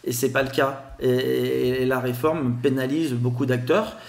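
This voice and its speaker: A man with a Grenoble accent, a guest explaining telemarketing in a podcast interview, with a lively sing-song way of talking. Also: mid-range voice, measured pace, vocal fry, reverberant. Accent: Grenoble French.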